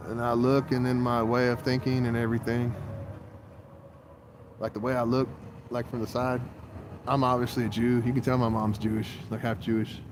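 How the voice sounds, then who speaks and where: A man's voice: mid-range voice, flat delivery, normal speed, pauses often. 40s guy rambling outside, cussing, street noise behind him. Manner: rambling, jumps between topics, swears. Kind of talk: rambling monologue. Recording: outdoor traffic noise.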